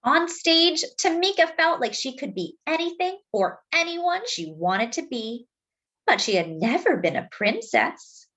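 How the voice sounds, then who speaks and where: A woman reading a children's story aloud expressively, phrasing deliberately as if to young listeners. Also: high voice, very animated, fairly bright tone. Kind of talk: expressive picture-book read-aloud with asides to young listeners.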